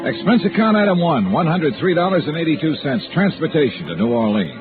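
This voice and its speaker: A man's voice: a little high, very animated, fairly quick, narrow, tinny audio, loud music behind the voice. An actor, a man, playing a wry, businesslike insurance investigator who narrates an old radio detective drama. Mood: brisk, businesslike. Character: brisk, wry freelance insurance investigator, male. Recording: thin band-limited old radio recording.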